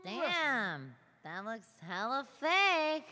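A woman's voice: sits high, big pitch swings, drawn-out words, sharp tone, no echo, exaggerated voice. A woman voicing a streetwise, brash nine-year-old boy in a slapstick radio play. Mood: brash, theatrical. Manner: brash, slangy.

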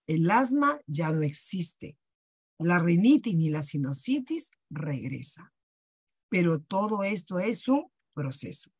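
Woman, Peruvian Spanish accent, low husky voice, dry close sound, persuasive video call host. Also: darkish tone, dry recording. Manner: persuasive, asks rhetorical questions. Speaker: wellness workshop host. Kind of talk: sales presentation on a video call.

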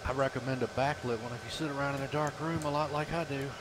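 Over a clearly hissy voice chat, a man talks casually with gaming teammates. His pitch sits in the middle range for a man. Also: steady tone, fast. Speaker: gamer chatting with teammates. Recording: noisy voice chat, clear hiss.

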